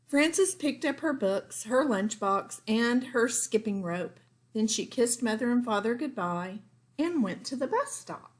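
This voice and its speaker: A woman's voice: fairly high pitch, animated, unhurried. A woman teacher reading a children's storybook aloud, adding casual asides for young listeners.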